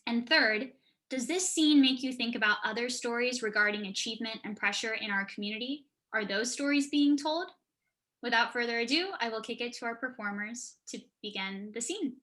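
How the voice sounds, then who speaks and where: A woman's voice: on the high side, moderate pace, fairly bright tone. A woman co-hosting an online event and giving introductions over a video call. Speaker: event co-host. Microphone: video call microphone.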